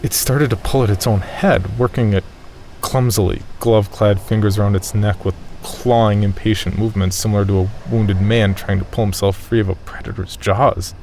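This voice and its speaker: A man's voice: lowish voice, steady tone, moderate pace, darkish tone, a little hiss or hum. A man reading a scripted first-person horror story as its narrator.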